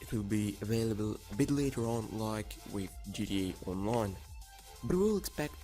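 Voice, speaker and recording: A man with a raspy, hoarse voice from being sick, narrating an informal game preview for viewers with enthusiasm. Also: on the low side, a little mellow. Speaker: gaming YouTuber.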